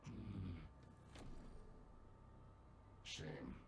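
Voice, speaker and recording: Menacing robot overlord, sci-fi game: low, creaky, commanding, echoing. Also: male voice, lively intonation, drawn-out words, heavily acted. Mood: cold menace. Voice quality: gravelly. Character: menacing robotic villain recruiter, cold and commanding. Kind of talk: sci-fi game cutscene acting. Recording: echoing, noisy game ambience.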